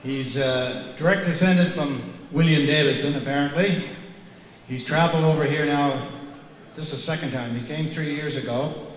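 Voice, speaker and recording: A man's voice: fairly high pitch, moderate pace. An older man acting as host, formally introducing the guest speaker on a handheld mic in a large hall. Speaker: event host. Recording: distant, very echoey hall.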